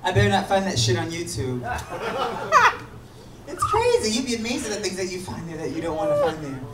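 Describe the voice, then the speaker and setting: A man's voice: high voice, huge pitch swings, a little fast. Campy drag queen guy bantering from stage, voice swooping around, roomy far off recording. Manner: sassy, campy, playful. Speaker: drag performer hosting and closing a live show. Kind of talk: live stage banter with audience. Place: small dark bar with stage, indoors. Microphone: handheld stage mic through speakers, filmed from audience. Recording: roomy, distant camera audio, loud crowd noise.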